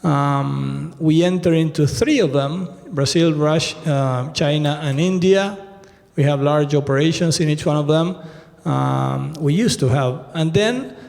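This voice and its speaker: A man's voice: a little high, a little slow, gravelly, darkish tone. Argentine man speaking in an echoey hall. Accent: Argentine Spanish. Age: 50s. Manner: conversational, many fillers and restarts, addresses audience directly. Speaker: retail executive keynote speaker. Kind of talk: conference keynote talk. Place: large conference hall. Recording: echoey hall with long reverb.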